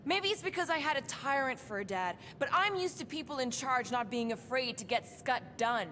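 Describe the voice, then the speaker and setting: A woman's voice: high voice, lively intonation, moderate pace, fairly bright tone, big-room sound, a little hiss or hum. A woman playing a blunt, scornful, tough alien warrior in a video game, with a cutting, challenging manner.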